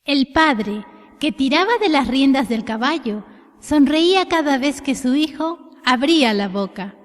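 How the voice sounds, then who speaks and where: A woman narrating a scripted children's tale in an expressive storytelling style. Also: high voice, unhurried. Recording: long hall reverb.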